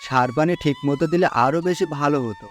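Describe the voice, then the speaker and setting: Humble, higher-pitched poor farmer acted by a man. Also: fast talker, close to an everyday voice. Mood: humble, earnest. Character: poor, gullible, humble male farmer, trusting and hesitant. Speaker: cartoon voice actor. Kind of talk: Bengali cartoon folk-tale acting. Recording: music under the voice.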